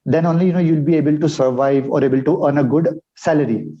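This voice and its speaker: A man from India with an Indian English accent, telling his life story in a relaxed way as a podcast guest, with many fillers. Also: on the high side, fast talker, lo-fi sound. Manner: relaxed, uses many fillers like uh and you know. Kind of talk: casual interview storytelling.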